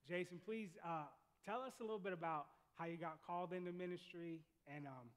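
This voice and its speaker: A man's voice: sits high, a little fast, long reverb tail. A man hosting an interview on a church stage, enthusiastic and repeating his words. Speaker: pastor interviewing a guest. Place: church sanctuary.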